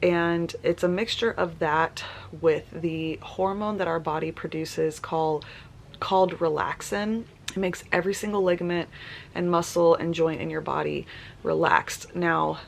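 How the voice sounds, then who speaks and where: Congested woman, gravelly low voice. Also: steady tone, unhurried, a little hiss or hum. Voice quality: congested, nasal. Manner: chatty, laughs often, loose with fillers. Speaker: vlogger. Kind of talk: casual pregnancy vlog chat.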